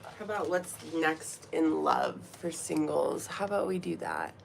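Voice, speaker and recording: A woman talks unhurriedly and a bit low, nasal and sniffly from a cold. She chats to her live viewers in a playful, giggly way. A faint hum of room noise sits behind her. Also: expressive, a little slow, gravelly, low-quality recording. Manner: chatty, playful, giggly asides. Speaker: tarot reader and streamer. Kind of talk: casual livestream chat. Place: room at home.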